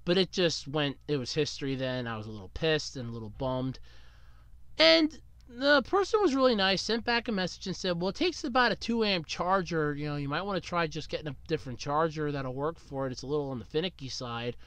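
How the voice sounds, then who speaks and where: A man talking through a solo podcast in a rambling, casual way, still getting over a cold. His voice is bright and smooth, and it jumps around a lot in pitch. The sound is close and dry, with no room around it. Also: expressive, fairly quick, dry recording. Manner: rambling, chatty, swings up and down in pitch. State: recovering from a cold. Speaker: podcast host.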